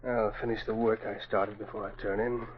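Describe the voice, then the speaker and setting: An actor playing an anxious, crooked American stockbroker in a 1940s radio melodrama, agitated and husky. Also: male voice, fairly low pitch, little pitch movement, brisk, noisy background, close to an everyday voice. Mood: uneasy muttering. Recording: muffled old radio recording.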